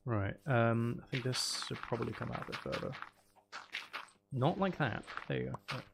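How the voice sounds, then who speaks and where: A man's voice: medium pitch, lively intonation, moderate pace, gravelly. A man casually chatting on a live game stream, musing aloud and talking to viewers as he plays. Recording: faint background noise.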